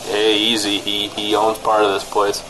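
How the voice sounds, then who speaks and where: A man's voice: fairly low pitch, monotone, moderate pace, poor audio. A man hosting a comedy radio news show as the mild-mannered, slightly hesitant straight man.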